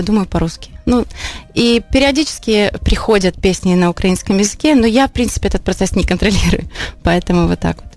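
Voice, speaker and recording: A woman from Ukraine, talking as an interview guest in a halting, reflective, conversational way. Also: medium pitch, a little mellow. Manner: halting, reflective, frequent filler phrases. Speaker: interview guest, singer-songwriter. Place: radio studio.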